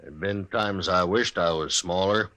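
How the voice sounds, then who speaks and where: Deep-voiced, menacing mountain man. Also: bright, forceful tone. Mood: guarded, blunt. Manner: slow rustic frontier drawl, blunt. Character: huge, proud, menacing mountain man husband. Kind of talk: old-time radio western acting.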